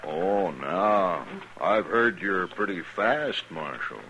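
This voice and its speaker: An actor playing a smug, menacing outlaw leader with an American Western accent, taunting in a mock-polite drawl. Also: male voice, deep voice, expressive, slow, highs cut off, a crowd in the background. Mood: smug, threatening. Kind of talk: 1950s radio western acting. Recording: vintage radio with faint noise.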